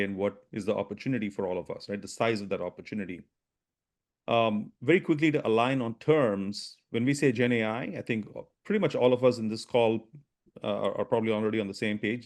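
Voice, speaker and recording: A man presenting a business webinar, talking quickly with frequent restarts and "right?" tags. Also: on the low side, a little mellow. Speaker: webinar presenter, product strategy VP.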